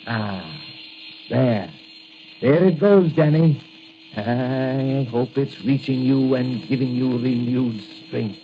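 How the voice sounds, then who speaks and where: An actor playing a kindly, worried apothecary and inventor who mutters to himself, in 1940s radio style on a dull, hissy old recording. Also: male voice, mid-range voice. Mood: musing, concerned. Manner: musing, deliberate, muttering.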